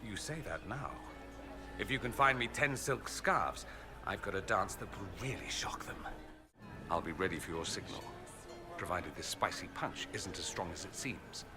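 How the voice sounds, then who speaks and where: Witty, theatrical young nobleman mage, lively swooping voice. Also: low-pitched, moderate pace. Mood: wry, teasing. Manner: theatrical, teasing, arch and ironic. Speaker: companion character in a fantasy role-playing game. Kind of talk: video game character dialogue. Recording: music under the voice.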